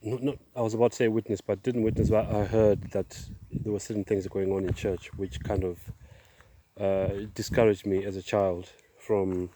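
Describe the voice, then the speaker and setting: A middle-aged man giving a personal testimony outdoors, speaking in a halting, measured way with pauses. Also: on the low side, flat delivery, dark tone. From Zimbabwe, born in Zambia. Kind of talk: personal testimony, casual monologue. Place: outdoors, on a walk.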